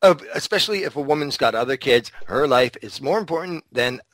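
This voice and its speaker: Man, podcast co-host, blunt, provocative, swears a lot, mid pitch, dry sound. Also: expressive, fairly quick, fairly bright tone, dry recording. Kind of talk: casual opinionated chat.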